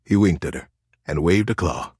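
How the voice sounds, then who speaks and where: A man narrating a children's story as a storyteller, with expressive emphasis and gentle suspense. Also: sits low, normal speed, slightly rough, dry recording, clean studio sound.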